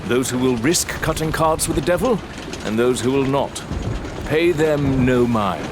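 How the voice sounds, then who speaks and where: An actor playing a proud, unrepentant aristocratic father in a gothic audio drama, measured and lecturing. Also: mid-range voice, noisy background, natural voice. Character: proud, disgraced English lord and father, unrepentant gambler. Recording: clear outdoor vehicle sound behind voice.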